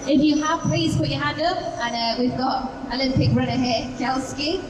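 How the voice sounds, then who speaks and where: A woman's voice: high voice, normal speed. A woman hosting a live question session on stage, chatty and encouraging with the audience. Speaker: event host. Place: large indoor event hall. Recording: echoey noisy event hall, distant microphone.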